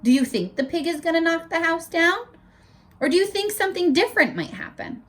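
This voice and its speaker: A woman's voice: extremely high pitch, fairly quick. A woman teacher reading a picture book aloud to children, stopping to explain words and ask for their predictions. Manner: animated, asks children questions.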